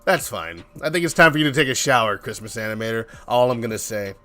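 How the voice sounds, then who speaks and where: A man's voice: medium pitch, animated, fast talker, pushed and bright. A man chatting casually and jokingly as he hosts a game developer's live stream, playfully rambling. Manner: joking, playful, acts out little imagined exchanges. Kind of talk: casual rambling livestream chat. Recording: music under the voice. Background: background music.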